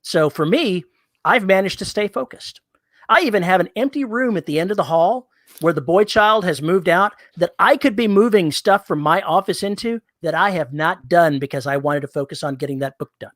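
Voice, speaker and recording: A man's voice: high-pitched, expressive, moderate pace, fairly bright tone, no room sound, studio quality. A man with a Texan accent co-hosting a podcast, chatting casually and reading listener comments aloud with an easygoing, self-deprecating manner. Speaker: podcast co-host. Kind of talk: casual chat.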